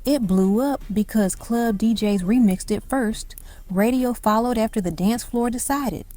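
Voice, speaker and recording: A woman's voice: fairly high pitch, measured pace, mellow. A woman reading scripted narration for a music countdown, delivered in emphatic, short punchy phrases. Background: faint music under the voice.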